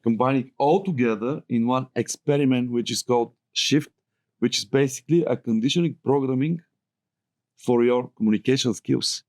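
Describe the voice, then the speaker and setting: Bulgarian-accented man, middle-aged, lively rambling guest storyteller. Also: medium pitch, normal speed. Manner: animated, rambling storyteller who laughs often. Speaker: guest filmmaker. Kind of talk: casual roundtable chat.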